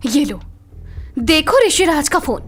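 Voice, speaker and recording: A woman's voice: high voice, big pitch swings, slow pace, faint music behind, exaggerated voice. A woman playing an eager, helpful young woman in a comic audio drama. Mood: eager, urgent.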